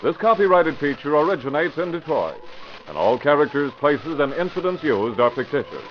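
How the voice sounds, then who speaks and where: A man's voice: fairly high pitch, very animated, moderate pace. A man announcing credits and narrating an old radio drama with dramatic emphasis. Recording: muffled old radio broadcast with hiss.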